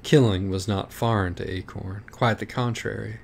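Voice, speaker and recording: A man's voice: on the low side, fairly even intonation, moderate pace, vocal fry. A man narrating a parody audiobook storybook with mock-literary, dramatic phrasing.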